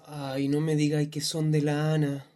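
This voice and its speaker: A woman's voice: deep voice, completely flat delivery, normal speed, mellow, close to an everyday voice. A woman playing a superstitious neighbour in a radio drama, confiding in colloquial speech with a Chilean accent.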